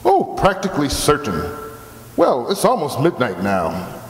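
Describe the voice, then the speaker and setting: A man's voice: medium pitch, huge pitch swings, unhurried. A man playing a breezy, glib, reassuring surgeon in old-time radio comedy, heard in an echoey hall. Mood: blithe. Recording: echoey hall, long reverb, background noise.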